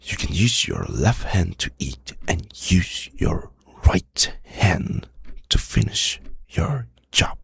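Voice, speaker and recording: A man's voice: deep voice, huge pitch swings, drawn-out words, creaky, fairly bright tone, breathy edge, dry recording, exaggerated voice. A man with an Indonesian accent playing a demanding, sardonic boss in an ASMR roleplay, talking straight to the listener with pointed rhetorical questions. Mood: bossy, sardonic. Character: demanding, bossy male boss who softens into bribing his manager.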